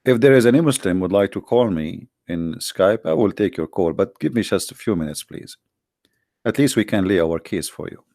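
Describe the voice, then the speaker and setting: Host, fairly low voice, dry close sound, scornful and emphatic delivery. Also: male voice, lively intonation, normal speed, a little mellow, no room sound. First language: Arabic. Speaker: online debate host. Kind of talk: combative commentary to viewers.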